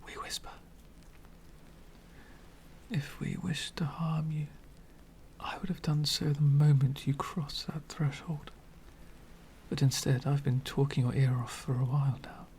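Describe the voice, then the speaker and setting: A man playing a lonely, courteous, centuries-old vampire in an ASMR roleplay, speaking formally and wistfully in soft, breathy whispers. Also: a little high, flat delivery, a little hiss or hum. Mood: menacing, fascinated. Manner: formal, wistful, slow and deliberate.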